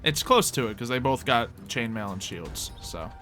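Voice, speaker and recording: A man's voice: mid-range voice, expressive, moderate pace. A man running a tabletop roleplaying game as game master, narrating turns and rulings casually. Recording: faint music underneath. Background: faint background music.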